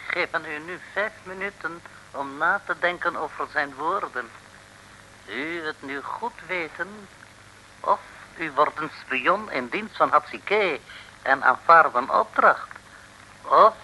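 An actor playing a mock-polite Chinese villain in an old radio comedy serial, speaking stilted, broken Dutch with formal menace. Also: male voice, on the high side, sharp tone, full character voice. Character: sly, mock-polite Chinese male gang boss villain. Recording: noisy old radio recording.

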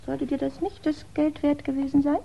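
A woman's voice: high voice, little pitch movement, moderate pace, vocal fry, lo-fi sound, a little hiss or hum. An actress playing a composed, playfully mocking woman in a 1960s German radio play. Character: teasing, composed woman, crime writer's companion.

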